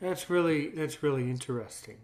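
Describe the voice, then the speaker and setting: A man hosting a casual interview, speaking haltingly and often repeating his words. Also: a little high, a little mellow. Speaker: podcast host.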